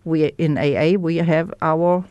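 Woman, German accent, very low voice, unhurried, dry close sound, candid and reflective. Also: steady tone, dark tone, dry recording, muffled recording. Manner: candid, reflective, searching for words. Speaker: guest sharing her recovery story. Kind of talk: personal testimony in an interview.

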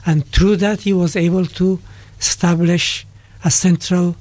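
An older man from Afghanistan, a retired politics lecturer, answering interview questions thoughtfully with many pauses and restarts. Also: high voice, flat delivery, darkish tone, no echo. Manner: thoughtful, with frequent hesitations and restarts.

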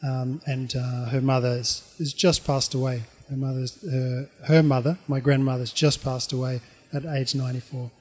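A middle-aged man with an Australian accent tells his life story informally, in a halting, reflective way. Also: mid-range voice, level tone, darkish tone.

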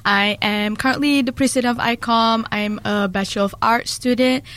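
A woman's voice: fairly high pitch, steady tone, normal speed, a bit bright. A young woman from Malaysia who grew up in New Zealand, chatting casually as an interview guest and often searching for words. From Malaysia, in New Zealand since age ten. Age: young adult. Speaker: podcast guest, student association president.

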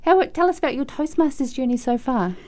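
A woman's voice: sits high, animated, fairly quick, mellow. A woman host interviewing a guest, reacting with encouraging remarks.

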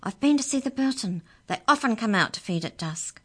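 A woman voicing an angry, outspoken schoolgirl who talks loudly and fast. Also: medium pitch, animated. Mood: furious. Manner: loud, rapid, indignant. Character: angry outspoken schoolgirl, curly-haired and stubborn.

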